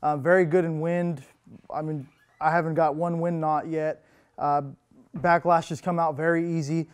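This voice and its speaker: Young guy in a tackle shop running through new gear, smooth clear voice, easygoing, lots of ums. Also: normal speed. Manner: relaxed, lots of fillers, upbeat pitches. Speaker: tackle shop product host. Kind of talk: casual product rundown. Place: indoor tackle shop with lure wall.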